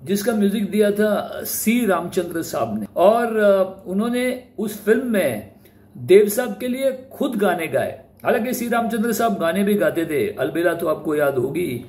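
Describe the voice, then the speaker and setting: A man's voice: high voice, lively intonation, moderate pace, a little mellow. A man hosting an informal, explanatory talk on music history, respectful in the way they name artists and mixing in English words. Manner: speaks Hindi with English words mixed in. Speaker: host presenting film music history. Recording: roomy echo, faint background noise.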